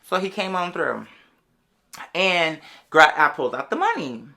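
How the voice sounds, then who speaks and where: A chatty vlogger with a bright, sharp voice at a middling pitch, spinning out a personal story for followers. The delivery is casual and sassy, full of slang and wandering side remarks. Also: sing-song, measured pace, vocal fry. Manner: rambling, digressive, sassy slang, calls everyone "girl".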